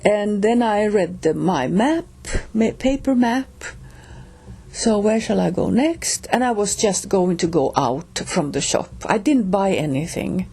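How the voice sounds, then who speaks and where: Finnish lady telling her own story, slow and halting, soft mellow voice a bit breathy. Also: mid-range voice, expressive. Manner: slow, halting, with pauses and restarts. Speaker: reader and storyteller. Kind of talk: personal storytelling.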